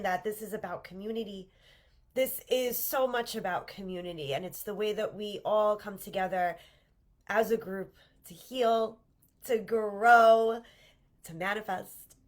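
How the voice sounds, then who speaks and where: Congested woman leading a live workshop. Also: a little high. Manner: warm, earnest and encouraging, with repeated restarts. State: congested with a cold. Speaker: manifestation coach hosting a livestream workshop. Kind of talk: live-streamed workshop talk. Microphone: livestream camera mic.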